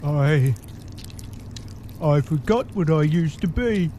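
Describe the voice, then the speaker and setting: Man voicing a high-pitched, slow, distraught bookworm. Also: animated, mellow, slight background noise. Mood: shaken. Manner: anguished, dramatic. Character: distraught, bewildered bookworm creature, pure-hearted and earnest. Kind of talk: audio drama acting.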